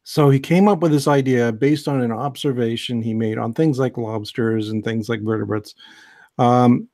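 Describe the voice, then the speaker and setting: An American man giving a relaxed, digressive science lecture on a video call, with many fillers and restarts. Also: mid-range voice, moderate pace. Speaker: host, biology lecturer.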